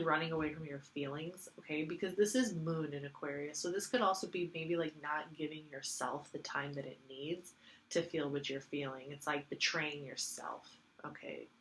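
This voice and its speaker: A woman's voice: low voice, rough recording. A woman giving a casual tarot reading, congested from allergies, rambling with lots of fillers and repeating herself. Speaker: tarot reader.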